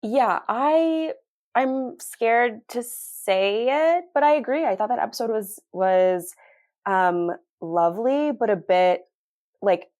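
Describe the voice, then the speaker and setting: A woman's voice: fairly high pitch, slow, a little mellow. A woman co-hosting a podcast, giving a casual, thoughtful TV review in a hesitant way peppered with "like". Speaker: podcast co-host.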